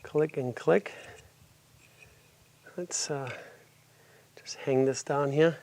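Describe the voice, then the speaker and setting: A man's voice: a little high, drawn-out words, gravelly, darkish tone. A middle-aged man with a congested voice from a cold, casually explaining motorcycle work step by step while he does it in his home garage. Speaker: garage video host. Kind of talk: casual workshop walkthrough.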